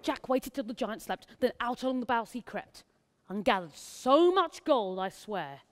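A woman's voice: a little high, lively intonation, normal speed, lightly acted. A woman narrating a rhyming story theatrically on stage. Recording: stage performance in echoey hall.

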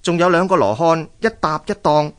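A man's voice: a little high, animated, normal speed. A man with a Hong Kong Cantonese accent narrating a scripted story for a radio drama.

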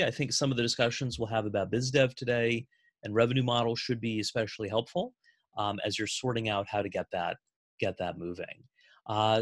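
A man hosting a business Q&A on a video call, speaking in a measured way with frequent fillers. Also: medium pitch, fairly bright tone, no echo. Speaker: host of agency Q&A.

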